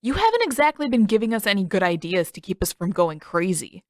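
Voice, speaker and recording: A woman narrator acts a complaining teenage boy in an audio storybook. He is sarcastic and grumbly, arguing with lively swings of pitch. The sound is very dry. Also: a little fast, dry recording, lightly acted. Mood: sarcastic, indignant.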